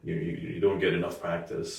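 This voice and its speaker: A man whose first language is Farsi, a guest on a casual panel chat, talking fast and restarting words as he goes. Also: deep voice. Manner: fast, animated talker with many restarts. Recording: echoey room, mic at a distance.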